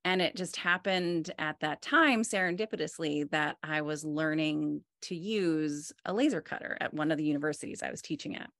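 A woman in her forties, an artist, talking in a relaxed, thoughtful way with many fillers and restarts, on a video call from a wood-panelled room at home. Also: sits low, moderate pace, few pauses, dry recording. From United States. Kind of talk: conversational interview.

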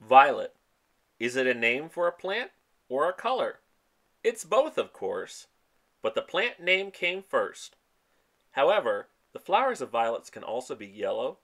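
This man reads a picture book aloud in a voice that swoops up and down with lively, sing-song energy. His pitch sits in the middle of a man's range, and he gives each rhyme and plant name a storyteller's lift. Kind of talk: expressive children's read-aloud. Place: outdoors in a spring forest, and a voice-over on book pages.

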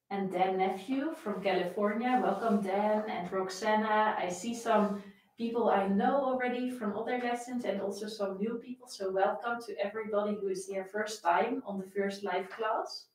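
A woman's voice: medium pitch, darkish tone, very echoey, recorded from a distance, poor audio. A young woman with a Dutch accent, a violin teacher chatting and greeting viewers by name before a live-stream lesson from her home. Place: home room with framed pictures, indoors.

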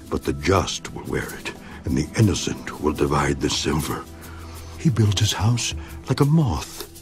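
A very deep, solemn voice of Job, the steadfast sufferer. He declaims each line with rising and falling emphasis, while soft music plays underneath. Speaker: voice actor in a Bible audio drama. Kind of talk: dramatized scripture reading.

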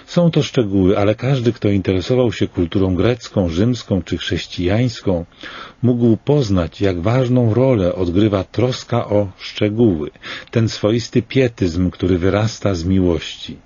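A man in his sixties reading a scripted commentary in a slow, grave and deliberate way, with rhetorical questions. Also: lowish voice, mellow, slightly rough, dry recording, dull audio.

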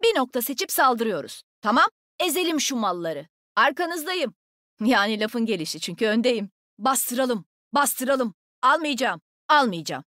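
Casting: nimble young female game agent. Bright-spirited taunter, very animated delivery, sassy and sure of herself, dry close studio sound. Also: on the high side, moderate pace, dry recording. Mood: playful, bossy. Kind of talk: video game combat voicelines.

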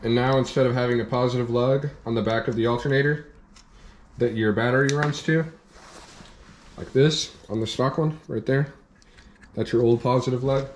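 A young man in a workshop, calmly walking through a hands-on repair step by step, with plain practical talk. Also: mid-range voice, normal speed, long pauses, slight background noise. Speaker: off-road channel host demonstrating a repair. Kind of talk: hands-on how-to explanation. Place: indoor workshop at a workbench.

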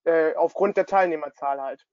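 A man's voice: fairly high pitch, normal speed, a little mellow, no echo. A young man answering questions on a video call, a bit hesitant with fillers. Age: young adult. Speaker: youth sports board member. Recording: dry lo-fi video call audio.

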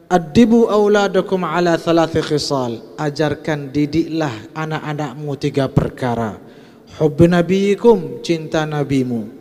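A man's voice: a little high, darkish tone. A man in his thirties with an Indonesian accent, preaching a Friday sermon at a pulpit microphone. He chants Arabic quotations and then translates them. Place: large mosque hall, at a pulpit. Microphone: gooseneck pulpit mic. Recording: long mosque reverb.

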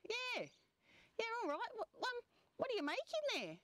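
A woman's voice: very high voice, huge pitch swings, moderate pace, fairly bright tone, dry recording, pitched above the performer's own voice, exaggerated voice. A woman with an Australian accent voicing a casual, helpful kangaroo friend in a children's story. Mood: breezy, helpful.

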